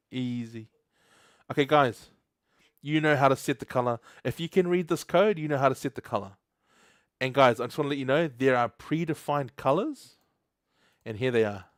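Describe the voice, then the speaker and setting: Young kiwi dude live coding, chats with viewers a lot, dry close sound. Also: male voice, mid-range voice, no room sound. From New Zealand. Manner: chatty, laughs often, reads chat aloud. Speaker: livestream host. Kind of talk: casual live coding stream.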